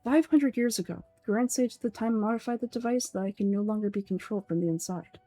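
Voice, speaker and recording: A woman voicing a calm, aloof young male scholar with a deadpan, measured delivery in a fantasy game. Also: medium pitch, no echo, soft background music, close to an everyday voice. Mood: calm, dry.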